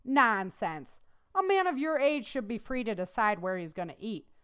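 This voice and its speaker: A woman's voice: on the high side, big pitch swings, normal speed, fairly bright tone, clear, resonant tone. A woman voicing a wealthy male landowner, courteous and insistent, in a read-aloud story. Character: wealthy, sincere but formidable male landowner.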